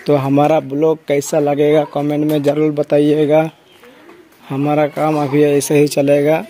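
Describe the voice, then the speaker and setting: A casual vlogger talks at a middling pitch. The explanations are plain and often repeat themselves. The phone recording from an open shed carries light noise. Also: completely flat delivery, a little slow, warm tone. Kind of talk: casual vlog narration, talking to viewers and a child. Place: large open-sided empty poultry shed. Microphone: handheld phone camera, operator behind it.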